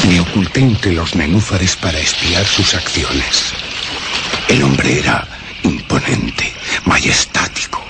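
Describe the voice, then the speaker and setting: Deep, slow, solemn demon narrator. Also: male voice, very noisy, full character voice. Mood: solemn, mysterious. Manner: solemn, deliberate, declamatory. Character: brooding male demon narrating his curses, solemn and sinister. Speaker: radio drama narrator. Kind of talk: dramatic horror radio theatre narration.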